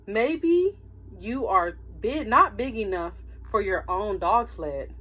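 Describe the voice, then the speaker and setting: A woman's voice: mid-range voice, lively intonation, slow pace, fairly bright tone, breathy edge, exaggerated voice. A woman voicing a teasing, affectionate storybook father in a hearty, emphatic way. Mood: teasing, fond.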